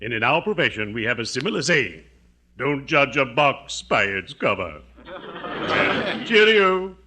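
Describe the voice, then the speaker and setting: An actor playing a morbidly genteel, joking undertaker with formal, mock-solemn delivery in 1950s radio comedy style. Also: male voice, medium pitch, very animated, a little slow, bright, forceful tone, heavily acted. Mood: gleefully macabre. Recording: noisy vintage radio recording.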